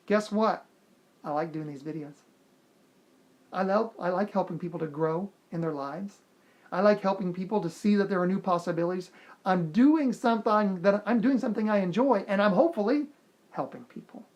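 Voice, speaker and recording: A man's voice: high-pitched, darkish tone. A man hosting a motivational video, talking straight to the viewer in an earnest, encouraging way and repeating key lines for emphasis. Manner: encouraging, speaks directly to the viewer. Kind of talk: motivational self-help talk to camera.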